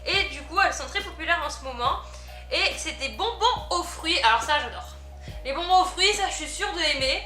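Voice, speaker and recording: A woman chatting through an unboxing vlog, easily delighted and quick to giggle. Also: high voice, lively intonation, bright, forceful tone, roomy sound, faint music behind. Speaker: unboxing vlogger. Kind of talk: casual product unboxing chat.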